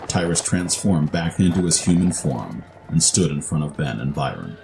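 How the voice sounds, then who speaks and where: A man's voice: very deep voice, moderate pace, creaky, a little mellow, soft background music. A man narrating a scripted fantasy story in a dramatic style.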